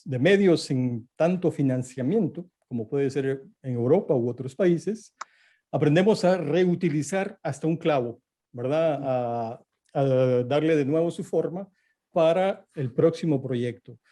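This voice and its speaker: Slow, mellow Guatemalan man. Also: on the high side. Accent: Guatemalan Spanish. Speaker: panel guest, theater artist. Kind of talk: online panel discussion.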